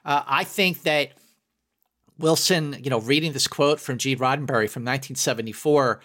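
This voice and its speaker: A man's voice: mid-range voice, moderate pace, pushed and bright. A middle-aged man speaking as a guest critic in a podcast discussion, effusive and emphatic, often repeating words to drive a point. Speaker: guest film critic and entertainment journalist.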